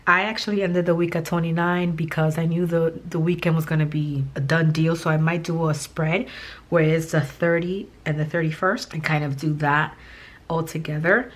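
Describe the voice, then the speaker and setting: A woman with a hoarse, raspy voice from a recently lost voice, chatting casually and rambling a little while she plans, with many fillers and restarts. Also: sits low. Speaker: planning vlogger. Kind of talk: casual chat while planning.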